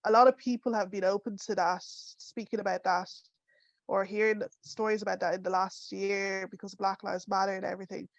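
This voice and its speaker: A woman's voice: medium pitch, flat delivery, a little fast, no echo. A young woman speaking as a panelist in an online discussion, in a chatty way with many "you know"s. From Ireland. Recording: dull, dry home audio.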